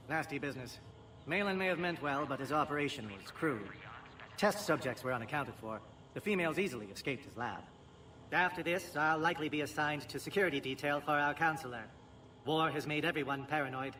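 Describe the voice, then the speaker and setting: An actor playing a brisk, dutiful alien military officer in a video game, with a clipped, matter-of-fact and wry delivery. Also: male voice, on the high side, sharp tone, slight background noise, rough recording.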